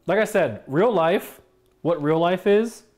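A man's voice: high voice, measured pace, darkish tone. A young American man in casual, emphatic conversation, explaining his view and swearing freely in a home kitchen. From United States. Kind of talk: casual chat.

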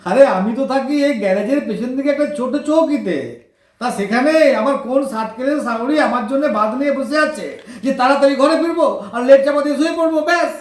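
A man's voice: extremely high pitch, fast talker, far from the mic. An actor playing a cheeky, kind-hearted young garage boy with colloquial West Bengal speech, in teasing, slangy audio drama banter. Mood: amused. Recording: echoey room, off the microphone.

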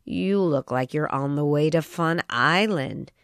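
A woman's voice: low voice, measured pace, clear, resonant tone, no room sound, clean studio sound, voice pushed down. A woman voicing a sly, coaxing male fox in a storybook reading. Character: sly ginger fox, smooth-talking male.

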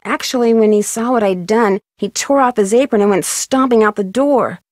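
A woman's voice: on the high side, moderate pace, darkish tone, no echo, clean studio sound, natural voice. An actress playing a polite, earnest young female amateur detective in a video game, courteous and a little sheepish.

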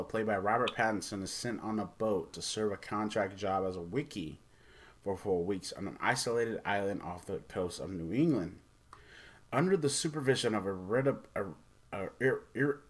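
A middle-aged man hosting a casual, off-the-cuff review, speaking haltingly and stumbling over his words. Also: fairly low pitch. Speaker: host of a movie review show.

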